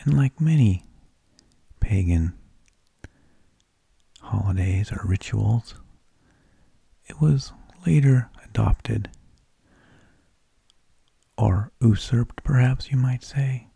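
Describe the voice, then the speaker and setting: A man hosting ASMR, speaking in a husky, breathy whisper with halting phrases and long pauses. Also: mid-range voice, dark tone, dry recording. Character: mild, tedious energy vampire who lulls people to sleep. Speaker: ASMR host.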